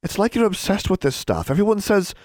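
A man's voice: fairly high pitch, huge pitch swings, moderate pace, dark tone, no echo, clean studio sound, voice pushed up. A man voicing a timid, anxious schoolgirl with a hesitant, pleading manner, in an audiobook.

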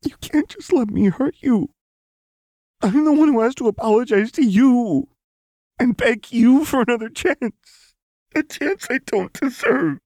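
An anguished young woman in an audiobook drama, crying and guilt-ridden. The voice is very high and swooping, tender yet emphatic as it pleads. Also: animated, a little slow, darkish tone, no room sound. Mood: remorseful.

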